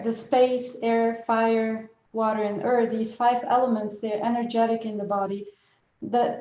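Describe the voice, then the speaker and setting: A woman's voice: on the high side, warm tone. A woman teaching Ayurvedic cooking over a video call, explaining patiently and often restarting her phrases. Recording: roomy and muffled video call audio.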